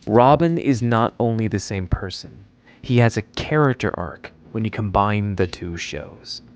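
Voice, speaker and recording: A man's voice: fairly low pitch, lively intonation, measured pace, faint music behind. A man delivering scripted narration as the presenter of a video essay.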